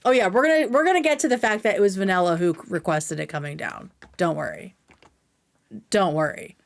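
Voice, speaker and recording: A woman's voice: medium pitch, brisk, vocal fry. An American woman hosting a livestream, giving casual, opinionated commentary in a blunt, sweary, conversational way. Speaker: live stream host reacting to clips.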